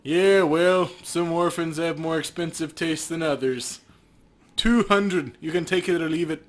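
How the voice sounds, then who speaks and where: A man's voice: a little high, a little slow, natural voice. A man voicing a sleazy, smug corrupt police officer in a video game, wheedling for bribes.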